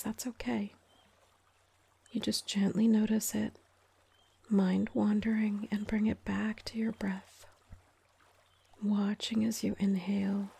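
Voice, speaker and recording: Female meditation guide, whispery and soothing, mellow tone, very even delivery with gentle pauses. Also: mid-range voice. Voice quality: breathy, whispery. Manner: calm, soothing, gentle, unhurried with long pauses. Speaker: meditation guide, yoga teacher. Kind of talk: guided meditation, soft-spoken.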